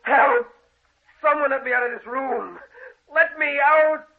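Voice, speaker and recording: A man's voice: very high-pitched, a little slow. An actor playing a distraught, half-mad bereaved man, pleading and calling out melodramatically in an old radio drama. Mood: desperate, calling out. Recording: noisy, tinny old radio recording.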